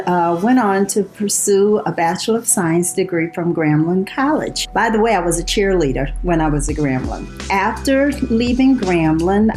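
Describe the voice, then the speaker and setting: An older woman from New Orleans, introducing herself in a recorded video in a steady, reflective way. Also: mid-range voice.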